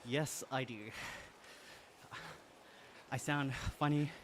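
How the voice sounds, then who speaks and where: A man's voice: medium pitch, flat delivery, slow, fairly bright tone, a little hiss or hum. Chatty Australian man streaming while cycling. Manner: upbeat, laughs often, friendly and chatty. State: exercising on an indoor bike while talking. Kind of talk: casual live-stream chat, reading viewer comments.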